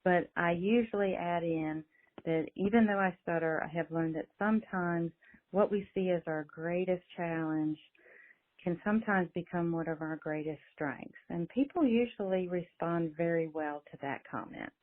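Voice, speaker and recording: A woman's voice: on the low side, normal speed. A middle-aged woman who stutters, earnestly telling her own story in a voicemail, with some repeated words. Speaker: caller leaving a voicemail. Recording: phone-line voicemail.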